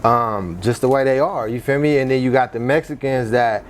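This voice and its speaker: A man's voice: mid-range voice, moderate pace. A man from East Oakland with an Oakland accent, chatting casually and in slang as an interview guest. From East Oakland, California. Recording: clear background noise, ambience.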